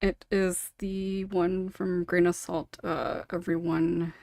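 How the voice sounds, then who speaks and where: Woman, slightly low voice, podcast moderator, apologetic, giggly, reassuring, casual, dry close sound. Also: flat delivery, takes it slowly, vocal fry, darkish tone, no echo.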